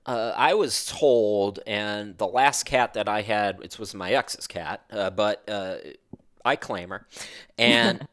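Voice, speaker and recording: A man's voice: lowish voice, takes it slowly, a bit bright. A man hosting a podcast, chatting casually and wandering from one thought to the next. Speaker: podcast host.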